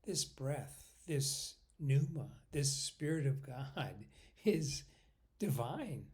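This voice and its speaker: Guy leading a spiritual meditation, really slow, medium pitch that moves around a lot, pauses between bits. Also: very animated. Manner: unhurried, pausing between short phrases, often trailing off softly. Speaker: meditation guide.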